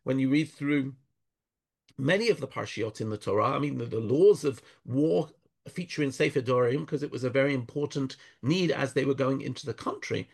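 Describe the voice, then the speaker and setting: A man speaking thoughtfully in an interview, pausing and restarting often, weaving in Hebrew verses. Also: mid-range voice, gravelly, husky. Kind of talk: conversational interview.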